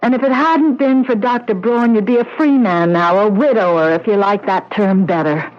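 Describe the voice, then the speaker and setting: An actress playing a devoted, long-suffering wife with an earnest, pleading manner, in old-time radio drama style. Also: female voice, medium pitch, moderate pace, lightly acted. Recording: dull vintage radio recording.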